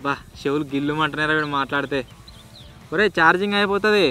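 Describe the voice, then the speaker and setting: A man's voice: a little high, quick pace, music in the background. A man with a Telangana Telugu accent hosting a vlog in casual, slangy, bantering chat. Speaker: video host.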